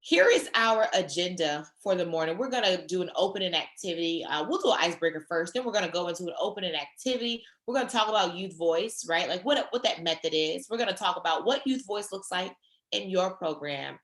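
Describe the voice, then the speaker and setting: A woman trainer leading a virtual session on a video call, her voice a little hoarse from a sore throat, speaking in an encouraging, conversational way. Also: on the low side, expressive, brisk, a bit bright, roomy sound.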